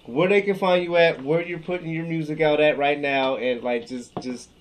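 A man's voice: fairly high pitch, moderate pace, a little hiss or hum. A man in his thirties hosting a casual interview, joking and teasing in slangy talk. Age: 30s. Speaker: interview host.

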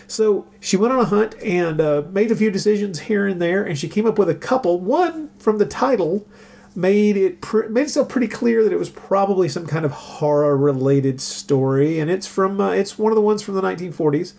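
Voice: mid-range voice, expressive, moderate pace, vocal fry, warm tone. A podcast host chatting casually in a musing, rambling and wry way.

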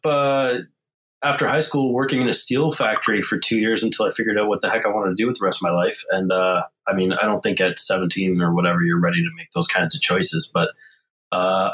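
A man's voice: lowish voice, fairly bright tone, rough recording. A man in his forties, talking as a podcast guest in a loose, rambling way with many fillers and restarts. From Canada. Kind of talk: casual interview conversation.

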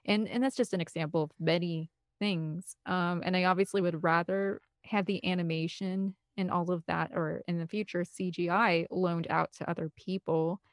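A woman's voice: lowish voice, fairly even intonation, moderate pace, dry recording, studio quality. A woman speaking as an interview guest in a casual, rambling and emphatic conversational way. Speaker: interview guest, film composer and director.

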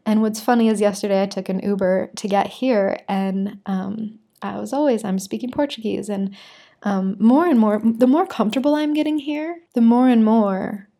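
A woman's voice: mid-range voice, normal speed, warm tone. A young American woman telling a personal story to camera in a casual, candid way, full of "like" fillers. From United States. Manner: chatty, frequent "like" fillers, candid. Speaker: vlogger.

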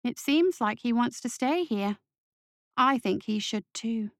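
A woman's voice: a little high, animated, a little slow, dry recording, studio quality. Woman as a sly ghostly little boy. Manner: accusing, mischievous.

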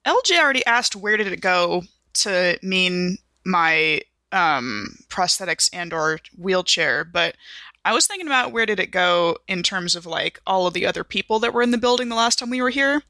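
A woman player in a tabletop game, thinking out loud as she works through what her character does. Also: lowish voice, animated, moderate pace, no room sound.

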